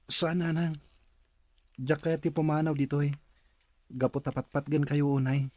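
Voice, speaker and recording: An actor playing a meek, earnest adopted son who speaks respectfully and pleadingly with an Ilocano accent in a radio drama. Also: male voice, a little high, steady tone, measured pace, darkish tone, no echo. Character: meek, pleading adopted boy, devoted to his parents. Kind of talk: Filipino radio drama acting.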